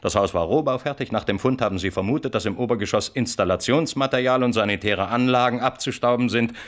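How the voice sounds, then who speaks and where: An actor in a German radio play voicing an insistent, needling police interrogator who swings between threats and chummy, colloquial coaxing. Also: male voice, medium pitch, fast talker, lightly acted. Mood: menacing, pressing. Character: pushy, cajoling male police interrogator, by turns threatening and chummy.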